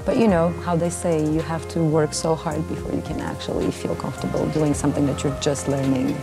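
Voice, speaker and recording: A woman's voice: sits low, little pitch movement, fairly quick, music in the background. A woman in her thirties from Armenia with an Armenian accent, talking about her life as a musician in an interview. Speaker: cellist and vocalist. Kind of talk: interview testimonial. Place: indoor backstage area, seated.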